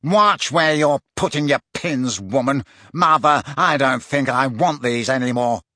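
A man's voice: medium pitch, a little slow, sharp tone, no room sound, clean studio sound. A man voicing an arrogant, spoiled teenage boy in a storybook, drawling with petulant sneers. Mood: angry snarl.

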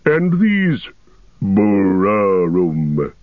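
A man voicing an ancient, patient male tree-giant who talks slowly and deliberately with humming pauses. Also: on the low side, sing-song, husky, dry recording, full character voice. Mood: ponderous. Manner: slow, drawn-out, humming pauses. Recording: tinny phone-like dry audio.

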